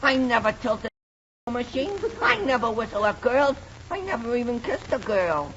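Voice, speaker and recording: A muffled, noisy vintage radio recording of a whiny teenage boy. His pitch swoops widely, melodramatic and cowardly in broad comedy fashion. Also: sing-song, normal speed, a bit bright, exaggerated voice. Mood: panicked. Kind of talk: 1940s radio comedy acting.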